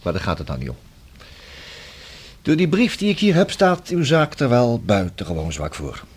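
An actor playing a persistent, ironic police detective in a radio play, speaking formally and unflappably. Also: male voice, mid-range voice, wildly swooping pitch, normal speed, breathy edge. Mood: pressing.

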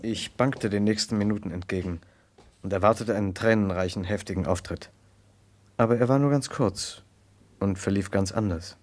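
An actor playing an earnest, gallant gentleman who narrates and investigates in a German radio crime drama, with a formal, polite manner. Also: fairly low pitch, moderate pace, natural voice. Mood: reflective narration.

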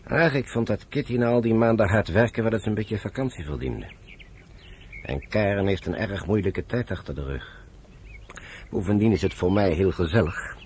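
A very deep, fatherly military man, played by a male actor in a radio play. He gives measured, frank advice with a lot of melodic movement. There is light noise on the old recording. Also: lively intonation, darkish tone, natural voice. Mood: cordial. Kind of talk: Dutch radio play acting.

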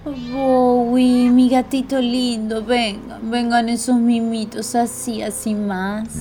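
An actress playing a serene, mystical Argentine woman in a radio drama troupe, speaking gently and calmly. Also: fairly high pitch, soft background music. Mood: tender. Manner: calm, gentle, unhurried. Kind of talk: radio theatre acting.

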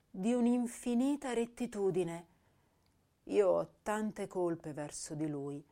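A woman's voice: mid-range voice, warm tone, lightly acted. A woman playing an intense, tender, self-reproaching young poet in a radio drama, reading her letters and verse with theatrical feeling. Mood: tender, confessional. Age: young adult. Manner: slow, emotive, theatrical delivery.

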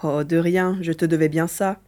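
An earnest young boy, voiced by a woman, speaks with a mellow, rounded tone. The manner is caring and a little worried, in the style of an audio drama. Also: lowish voice, steady tone, moderate pace, lightly acted. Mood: concerned. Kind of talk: French audio drama acting.

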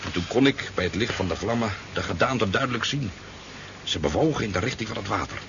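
An easygoing fisherman, a garrulous eyewitness in a 1970s radio drama. His voice is low and he rambles hesitantly as he spins his story. The recording is dull, with faint noise behind it. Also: animated, a little fast. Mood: animated storytelling. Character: talkative, easygoing sport fisherman, a boozy eyewitness.

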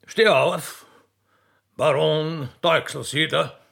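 A man voicing a benevolent emperor in a play, ceremonious and halting, with an Austrian accent. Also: on the high side, creaky, a bit bright, exaggerated voice. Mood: gracious.

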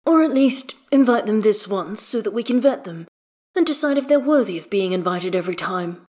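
A woman's voice: mid-range voice, lively intonation, brisk, a little mellow, voice pushed up, lightly acted. A woman voicing a flamboyant, teasing man with an arch, theatrical manner in an audio story. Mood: confident.

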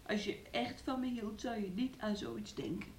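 An actress in a Dutch radio play playing a sick, submissive woman who speaks hesitantly and haltingly, longing for freedom. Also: medium pitch, big pitch swings, a little mellow, a little hiss or hum, close to an everyday voice. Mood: subdued, reproachful. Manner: hesitant, halting, sometimes dropping to a whisper.

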